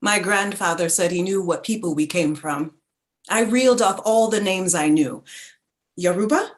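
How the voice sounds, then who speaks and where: An actress playing a reflective narrator who remembers her childhood, in a measured, deliberate staged reading of a literary play. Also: fairly low pitch, close to an everyday voice. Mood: reflective remembrance.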